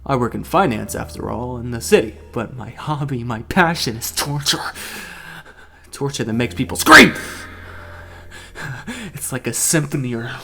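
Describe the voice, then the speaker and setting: An actor playing a sadistic, gleeful financier in an audio drama, talking in a theatrical, gloating way. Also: male voice, mid-range voice, moderate pace, creaky, bright, forceful tone. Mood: gloating menace. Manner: theatrical, gloating, often breaking into giggles and cackles. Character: gleeful sadistic male financier who tortures as a hobby.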